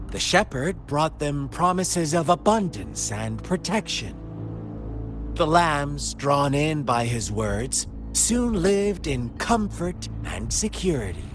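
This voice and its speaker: An actor playing a sly, mocking villain who tells tales with theatrical, taunting drama in a video game. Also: male voice, a little high. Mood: mocking storytelling.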